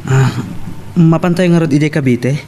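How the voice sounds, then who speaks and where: Guy acting polite helpful ilocano staff man, dark mellow voice, faint noise, radio soap. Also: on the high side, moderate pace, close to an everyday voice. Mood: courteous. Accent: Filipino, Ilocano. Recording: slightly noisy, distant from mic.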